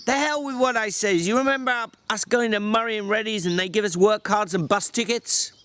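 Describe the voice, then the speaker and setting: A man voicing a small, irritable, bossy drifter in a story reading, scolding in sharp rustic slang, exasperated but protective. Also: high-pitched, fairly quick, dry recording, pitched above the performer's own voice.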